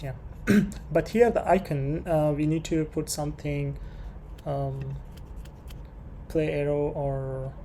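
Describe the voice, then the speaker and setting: A programming tutorial presenter talking through code unscripted, haltingly thinking aloud while working. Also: medium pitch, steady tone, mellow. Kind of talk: unscripted screen-share coding tutorial. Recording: clear background noise with keyboard typing.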